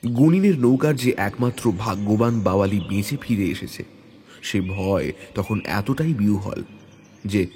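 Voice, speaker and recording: A man reading a hunting adventure as a dramatic audio story, in a measured, suspenseful way. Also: lowish voice, animated, darkish tone, a little hiss or hum. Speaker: story narrator. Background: background music.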